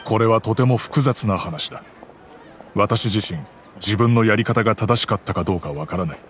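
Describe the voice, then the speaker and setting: A man's voice: lowish voice, moderate pace, a little hiss or hum, close to an everyday voice. A man playing a middle-aged wealthy father in an anime game, grave, formal and guarded, with outdoor ambience behind.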